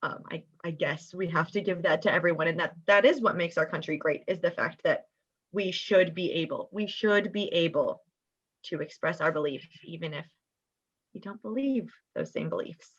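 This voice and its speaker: A young woman, a student guest, giving her opinion in an earnest, measured, carefully phrased way. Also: lowish voice, husky, lo-fi sound. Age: young adult. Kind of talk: earnest discussion.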